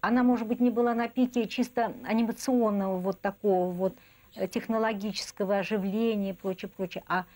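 A woman's voice: mid-range voice, steady tone, fairly quick, darkish tone. A woman in her fifties reminiscing in a documentary interview, emphatic and repeating words for stress. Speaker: animation professional interviewed. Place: indoor room, seated at table. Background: music.

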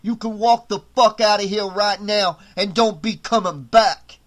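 A man acts out a bullying hotel supervisor who snarls threats at his staff. The voice sits high and hard-edged, and every line is pure contempt. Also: measured pace, fairly bright tone. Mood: scornful. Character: menacing brutish male hotel supervisor, bullying.

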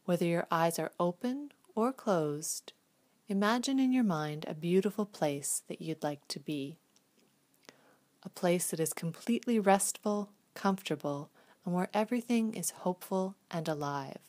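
Soft soothing lady doing a guided meditation, kinda low voice, hushed and unhurried. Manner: gentle, soothing, slow and hushed.

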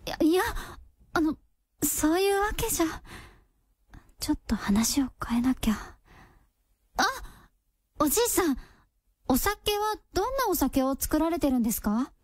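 Radio drama heroine: young, sincere, courteous and tentative, strikingly high pitch. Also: female voice, expressive, normal speed, long pauses, a little mellow, no room sound, studio quality. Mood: flustered, polite. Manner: polite, hesitant. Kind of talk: Japanese radio drama acting.

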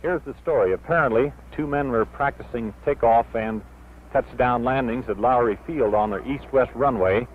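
American field reporter on tinny radio. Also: male voice, medium pitch, moderate pace. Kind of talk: on-the-spot news report. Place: outdoors near an airfield. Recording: tinny field radio, faint plane noise.

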